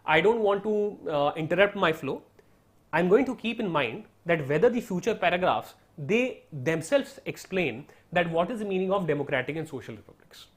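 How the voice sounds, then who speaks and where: A man's voice: high-pitched, expressive, moderate pace. A man with an Indian English accent and Hindi as his first language, explaining an exam study strategy step by step in an online lesson. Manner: explanatory, repeats "right?" and "so therefore", mixes in Hindi. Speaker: teacher.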